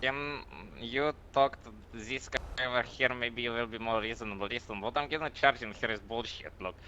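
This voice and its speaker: A man's voice: mid-range voice, moderate pace, pushed and bright, close to an everyday voice. A man playing an aggrieved Russian suspect in an online game roleplay, pleading bluntly in broken English with dropped articles. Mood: indignant pleading. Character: aggrieved Russian male suspect in handcuffs, grumbling excuses. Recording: muffled game voice chat, noisy.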